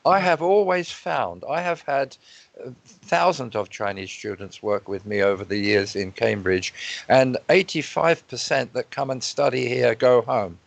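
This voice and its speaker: A man moderating an online panel discussion in a measured, thoughtful way, telling stories over a video call. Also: medium pitch, vocal fry, no echo.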